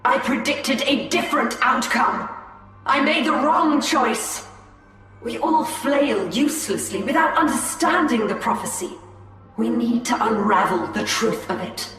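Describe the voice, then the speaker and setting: Macha, a proud elf seer. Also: female voice, on the high side, animated. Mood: regretful. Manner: measured, solemn. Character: scheming female elf seer, calm and proud. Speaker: Farseer. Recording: echoey hall, from far off, loud music.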